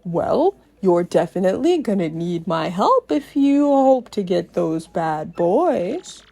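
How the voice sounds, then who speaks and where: A woman's voice: fairly low pitch, sing-song, takes it slowly, vocal fry, dark tone, pitched below the performer's own voice, heavily acted. A woman voicing a cocky spirit trapped in a treasure chest from a video game, talking in casual, slangy swagger over music. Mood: sly, insistent. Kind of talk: dramatic video game read-aloud. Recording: music behind the voice.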